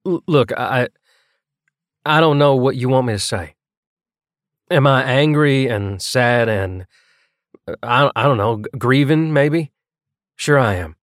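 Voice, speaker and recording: Guarded young small-town guy, acted by a male narrator, gruff and halting, slightly lifted pitch, dry audiobook recording. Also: dry recording, close to an everyday voice. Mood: frustrated, desperate. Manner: halting, stumbling over words.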